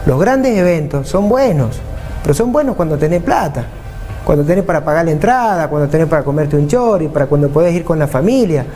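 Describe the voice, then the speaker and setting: A man's voice: fairly high pitch, animated, a little fast, mellow, soft background music. A man in his forties with an Argentine Spanish accent, answering a TV interview as a mayor running for office, earnest and repeating points for emphasis. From San Juan, Argentina. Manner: earnest, repetitive for emphasis, speaks with his hands. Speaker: mayor and vice-governor candidate. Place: TV studio, seated at a table.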